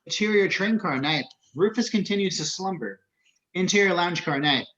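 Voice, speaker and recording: A man's voice: high-pitched, expressive, normal speed, a bit bright. A man reading out screenplay stage directions as narrator at a table read, on a slightly dull home recording.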